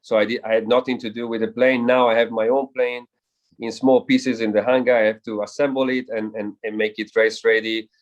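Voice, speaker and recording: A man's voice: mid-range voice, flat delivery, a little fast, lo-fi sound. A man speaking as an air race pilot in a casual interview, earnest and reflective, who often stumbles and repeats words while searching for them.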